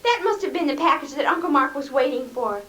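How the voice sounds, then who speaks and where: Very high-voiced, earnest young niece. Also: female voice, animated, quick pace, sharp tone. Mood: reassuring. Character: spirited young niece exasperated with her foolish uncle. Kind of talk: 1950s radio sitcom acting.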